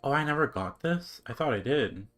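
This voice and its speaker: A man's voice: medium pitch, expressive, moderate pace, fairly bright tone. A man streaming a game and chatting casually, thinking aloud in a laid-back manner. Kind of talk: casual livestream chat. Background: game music.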